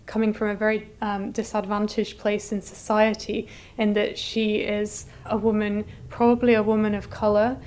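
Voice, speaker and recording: A woman's voice: medium pitch, darkish tone, smooth. A woman curator giving an articulate, scholarly explanation in an interview. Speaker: exhibition curator being interviewed.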